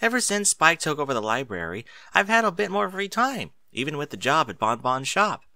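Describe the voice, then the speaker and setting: A man's voice: a little high, sing-song, brisk, pushed and bright, dry recording, voice pushed up, heavily acted. A man voicing a studious female pony, helpful and a wry know-it-all, speaking in a precise, bookish way.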